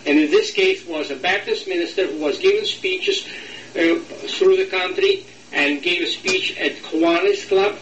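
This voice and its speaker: An older man from Latvia, speaking English with a Latvian accent, recalls his past in an oral history interview, pausing and searching for words. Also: sits high, very animated, a bit bright, roomy sound, distant mic, lo-fi sound, faint music behind. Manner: halting, searching for words, slight non-native grammar.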